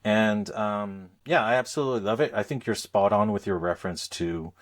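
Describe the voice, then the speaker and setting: A man's voice: fairly low pitch, normal speed. A man hosting a podcast in casual chat, phrasing his thoughts in a hesitant, thoughtful way. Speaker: podcast host.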